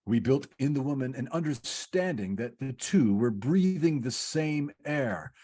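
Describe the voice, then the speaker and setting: A man playing a calm, patient senior angel who explains things in the scripted style of an old-time radio play. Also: mid-range voice, measured pace, husky. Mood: patient, explaining. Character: senior male angel Joseph, calm, patient, instructive overseer.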